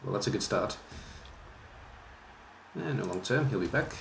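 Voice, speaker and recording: Brief: deep-voiced man, faint Welsh lilt, low-key game commentary, roomy recording with light hiss. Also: animated, gravelly. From Welsh border region. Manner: thinking aloud, measured and low-key. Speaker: streamer and league organizer. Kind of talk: casual live commentary while playing.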